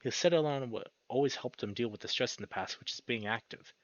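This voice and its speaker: A man's voice: mid-range voice, fairly quick, fairly bright tone, no echo. A man reading a visual novel aloud as a let's play host, narrating dramatically and breaking into exclamations. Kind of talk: expressive story narration with excited reactions. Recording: dull lo-fi sound, music behind. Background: game music.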